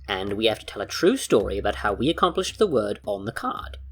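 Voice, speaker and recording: A man's voice: lowish voice, big pitch swings, fairly quick, vocal fry, fairly bright tone, slight background noise, full character voice. A man playing a smirking, playful trickster rogue in a fantasy audio drama, with a sly showman's way of explaining. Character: smirking male trickster rogue, playful card-game host. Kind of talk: acted audio fiction dialogue.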